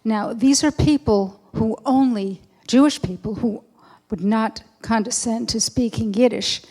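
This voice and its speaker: Mellow-voiced woman commenting in a hall. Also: on the high side, a little slow. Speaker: audience member making a comment. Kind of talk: telling an anecdote at a public event. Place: large indoor event hall. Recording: echoey hall with long reverb.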